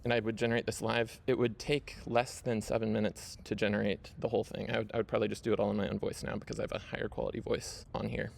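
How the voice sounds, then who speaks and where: Guy talking thru his screen share, gravelly, kinda flat, says "right?" a lot. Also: fairly low pitch, quick pace, hardly any pauses, slight background noise. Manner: chatty, frequent fillers and "right?" tags. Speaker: video host. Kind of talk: casual screen-share walkthrough.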